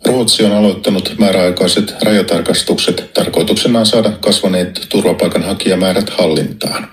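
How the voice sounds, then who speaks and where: A man reads news headlines in a formal, measured way. His voice sits low. The clip sounds roomy, with faint music underneath. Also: fairly even intonation. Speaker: newsreader. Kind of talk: news reading.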